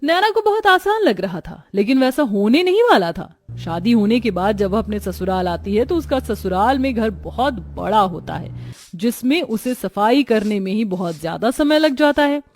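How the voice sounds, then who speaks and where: A woman's voice: fairly high pitch, animated, normal speed, faint music behind. A woman narrating a scripted cartoon story.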